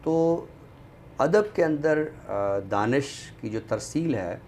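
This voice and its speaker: A man with a Pakistani accent discussing books in a deliberate, scholarly way, often pausing mid-sentence. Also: fairly high pitch, animated, darkish tone. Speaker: literary show presenter. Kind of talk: book discussion in Urdu literary talk. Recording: noticeable background noise.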